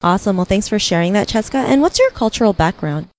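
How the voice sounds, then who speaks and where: A woman's voice: mid-range voice, lively intonation, fast talker, darkish tone. A woman from the Philippines hosting an interview, speaking reflectively and often using "you know" and "like". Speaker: interview host. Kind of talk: casual interview.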